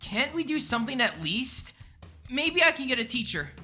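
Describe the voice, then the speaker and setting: An earnest teenage boy played by an actor for an audio drama, with a bright, forward voice. He talks in loose slang, gushes easily and cares anxiously about others, with music playing underneath. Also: high voice, very animated, moderate pace, heavily acted. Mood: worried. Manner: gushing, casual slangy teen talk. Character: Max, earnest, excitable teenage high-school boy, kind and anxious.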